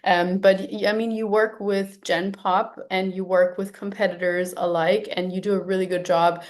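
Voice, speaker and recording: A woman's voice: medium pitch, flat delivery, moderate pace. A woman hosting a podcast, responding appreciatively to her guest with frequent ums. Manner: warm, appreciative, with filler ums. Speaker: podcast host. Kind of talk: casual interview chat.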